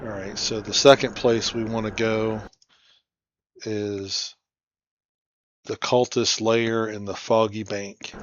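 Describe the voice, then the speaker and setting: A man's voice: lowish voice, little pitch movement, slow, long pauses, no echo, muffled recording. A man giving casual, informal gameplay commentary, thinking aloud as he plays. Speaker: gamer, playthrough host.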